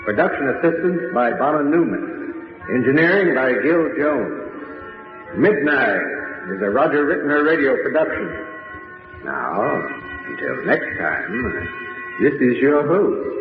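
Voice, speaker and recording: A ghoulish horror host, announces slowly with lively, theatrical swings. He sounds ominous and deliberate, in old-time radio style. The voice is thin and tinny in a huge echo. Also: mid-range voice. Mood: formal, deliberate. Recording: tinny, very echoey hall, faint music.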